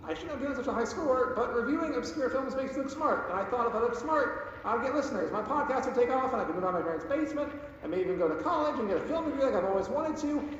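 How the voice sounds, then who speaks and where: A man's voice: extremely high pitch, expressive, rapid-fire, few pauses, fairly bright tone. A man playing a nervous, stammering young podcaster in a video game, rambling and pleading. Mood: panicked, pleading. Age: young adult. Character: nervous, rambling young male amateur film podcaster under interrogation. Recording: dull, very echoey hall, faint noise.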